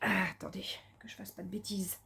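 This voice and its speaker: A woman of mid pitch chats casually as she works on a craft project. She thinks aloud with plenty of fillers and restarts and gushes about colours. Now and then she drops into soft muttering to herself. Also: steady tone, fairly quick, vocal fry, breathy edge. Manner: talks to herself softly while working. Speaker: craft vlogger.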